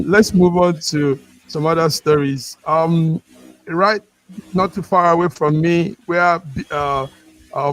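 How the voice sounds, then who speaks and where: A man hosting an unscripted news discussion, halting and often repeating words. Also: a little high, a bit bright, rough recording.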